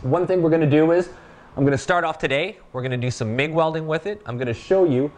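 A man in his thirties giving a casual demonstration of a welding machine in a workshop, talking in a relaxed, plain-spoken way with fillers. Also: a little high, fast talker.

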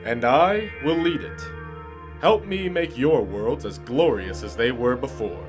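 A man acts a self-important billionaire saviour in a mock radio ad. His voice is middling in pitch, and he declaims his pitch grandly, like a campaign speech. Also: animated, unhurried, over music, natural voice. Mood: grandiose. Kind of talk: mock commercial in radio play.

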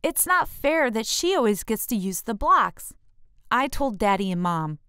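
A woman's voice: on the high side, sing-song, a little slow, bright, forceful tone, smooth, no room sound, clean studio sound, exaggerated voice. A woman voicing a jealous, defiant six-year-old boy in a children's storybook. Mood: resentful, boastful.

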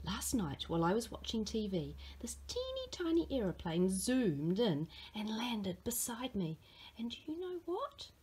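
An imaginative young girl from a storybook, acted out by a woman. She spins her tall tales earnestly and dramatically. Her pitch moves a lot, her pace is slow and the voice is husky. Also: huge pitch swings, a little mellow, heavily acted.

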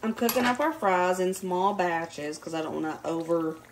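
A hoarse woman with a Southern US accent, chatting casually in her home kitchen as she walks through a recipe step by step. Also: fairly low pitch, moderate pace, background noise. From Southern United States. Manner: chatty and relaxed, gives step-by-step directions. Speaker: home cooking vlogger.

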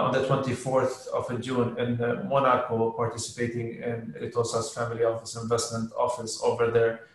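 A middle-aged man moderating a panel on a video call, polite and formal. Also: mid-range voice. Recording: very echoey room on a video call.

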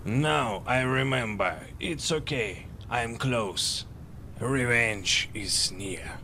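An actor voicing a vengeful male racing driver in a video game cutscene, with a gravelly, bitter tone. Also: mid-range voice, drawn-out words, bright, forceful tone, a little hiss or hum. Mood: brooding. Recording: music playing behind.

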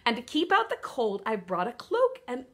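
A woman's voice: on the high side, huge pitch swings, normal speed, voice pushed up. A woman voicing an eager, pleading duck in a children's nonsense poem, with dramatic storybook delivery. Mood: proud, eager.

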